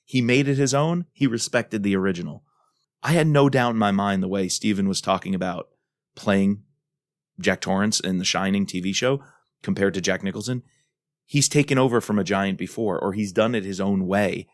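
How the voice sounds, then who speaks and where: A man with a fairly low voice co-hosts a podcast. He talks casually, with enthusiasm and emphasis, in a dry, close-sounding space. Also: moderate pace, no room sound. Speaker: podcast co-host. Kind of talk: casual discussion.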